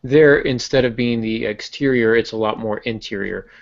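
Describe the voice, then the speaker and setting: A man's voice: medium pitch, normal speed, gravelly, lo-fi sound. A man hosting a podcast in a casual teaching discussion, analytical and posing questions that he then answers. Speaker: podcast host.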